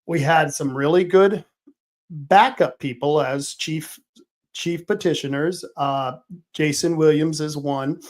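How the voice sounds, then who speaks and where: Rambling higher-voiced male show host. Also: slow. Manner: rambling, with restarts and fillers. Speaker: political talk show host. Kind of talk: casual livestream talk.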